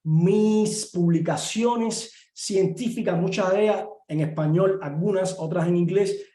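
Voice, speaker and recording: A middle-aged professor from Cuba with a Cuban Spanish accent, speaking earnestly in an online talk with many pauses and filler sounds. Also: male voice, sits high, warm tone. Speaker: university professor and guest speaker. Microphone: video call. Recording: echoey room.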